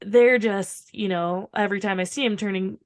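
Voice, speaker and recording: A woman with a slightly hoarse, recovering voice, chatting casually and informally as a podcast co-host, with some swearing. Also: mid-range voice, steady tone, normal speed. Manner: rambling, informal, frequent swearing. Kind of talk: casual chat.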